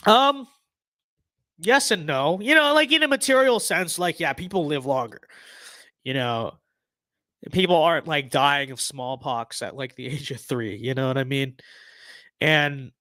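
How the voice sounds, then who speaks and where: A man's voice: on the high side, a little slow, long pauses, sharp tone, no echo, studio quality. A young man hosting a casual livestream, losing his voice, rambling with lots of fillers and restarts. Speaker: livestream host.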